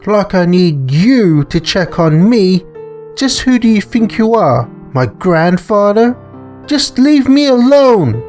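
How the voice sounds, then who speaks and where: A man plays a haughty female critter from a visual novel, pitched well up high. The character is short-tempered and demanding, with music underneath. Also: big pitch swings, slow pace, darkish tone, full character voice. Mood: irritated, defiant. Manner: indignant, demanding. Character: haughty, hot-tempered female animal character, snapping and indignant.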